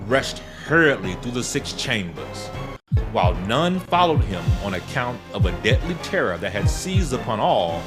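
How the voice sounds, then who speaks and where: A man narrating a gothic horror tale in a dramatic, deliberate, scripted style. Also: mid-range voice. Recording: prominent music bed under narration. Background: music.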